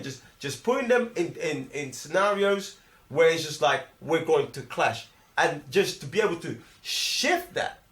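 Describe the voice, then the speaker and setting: A man's voice: high voice, fairly bright tone. A man with a London accent casually debating films in slang, emphatic and repetitive as he argues his point. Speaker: film review host. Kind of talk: animated casual film discussion, heavy slang. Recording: echoey room.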